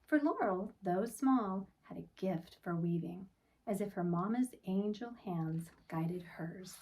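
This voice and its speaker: A woman reading a picture book aloud for children in an expressive, deliberate storytelling manner, with phrases that often end softly. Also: medium pitch, far from the mic, rough recording.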